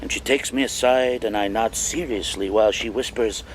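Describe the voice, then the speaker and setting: An actor playing a middle-aged professor in a radio drama, telling stories in a wry, deliberate, theatrical way. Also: male voice, fairly low pitch, a little hiss or hum, lightly acted, low-quality recording. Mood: wry, confiding. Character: wry, donnish male history professor, older mentor.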